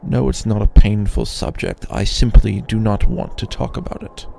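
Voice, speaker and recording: A man voicing an ancient, proud, weary male dragon in a possessive roleplay ASMR monologue, deep and gravelly, musing haltingly as he broods. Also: fairly even intonation, mellow, a little hiss or hum. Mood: curt, dismissive.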